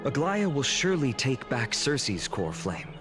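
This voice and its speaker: An actor playing a gentle, earnest warrior who speaks politely and formally in a video game story. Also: male voice, medium pitch, very animated, unhurried, music in the background. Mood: earnest, concerned. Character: gentle, earnest male warrior worried for his comrades.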